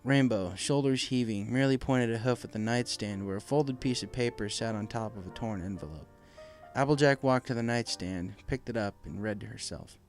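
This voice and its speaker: A man's voice: medium pitch, moderate pace. A man reading scripted story narration as the narrator of a fan fiction audiobook. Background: music.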